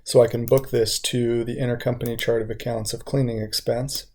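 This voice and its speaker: A man narrates an instructional software walkthrough at a middle pitch. His delivery stays even, with little melodic movement, and he explains each step methodically like a trainer. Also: a little fast. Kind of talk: instructional screen-share walkthrough.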